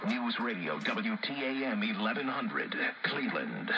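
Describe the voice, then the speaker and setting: A man's voice: lowish voice, very animated, a little slow, vocal fry, music in the background. A man delivering promotional station identification as a radio announcer, heard through a radio speaker.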